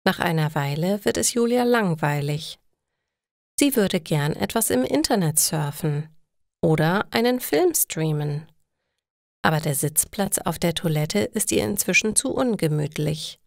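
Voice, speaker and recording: A woman narrates a simple story for language learners. Her voice sits slightly low and moves expressively in pitch. She goes very slowly and deliberately, as a careful storyteller would. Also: animated, creaky, no room sound, studio quality. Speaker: narrator of German listening lessons.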